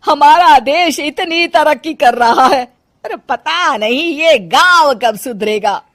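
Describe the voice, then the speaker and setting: A fussy middle-aged city mother-in-law , voiced by a woman, complains and moans in a theatrical way in a cartoon story. She talks slowly in a fairly high voice. It is recorded dry and close to the mic. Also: expressive, a bit bright, no echo, heavily acted. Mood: annoyed.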